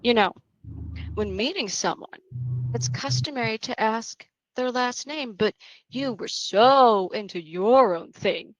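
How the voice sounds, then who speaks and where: Horror table read: actress as a gleefully unhinged female killer, higher-pitched, unhurried mocking delivery, dry close sound. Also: no echo, poor audio. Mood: smug, menacing. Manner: mocking, drawn-out, punctuated with laughter. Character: mad, gleeful young female killer, taunting and theatrical.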